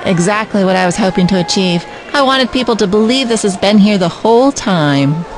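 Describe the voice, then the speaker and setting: An actress plays a cheerful garden designer in an audio drama, proud of her work. Her medium-pitched voice is friendly and a little self-satisfied. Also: lively intonation, a little fast, music in the background, close to an everyday voice, rough recording.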